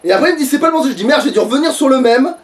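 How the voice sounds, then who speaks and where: A man's voice: very high-pitched, expressive, very fast. A man chatting casually as he plays a video game, grumbling and swearing at it. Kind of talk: casual gaming chat with commentary on own play. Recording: distant mic with background noise.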